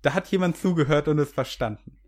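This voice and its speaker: A man's voice: on the high side, fast talker. A man in casual podcast chat, speaking in a wry, amused manner. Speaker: podcast participant, video critic.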